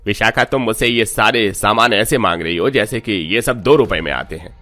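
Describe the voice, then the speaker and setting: A man acts out a fed-up, indignant cartoon husband. His voice is fairly low but hard-edged and forceful, with a scolding manner. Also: moderate pace. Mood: exasperated. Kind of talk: cartoon moral-story dialogue.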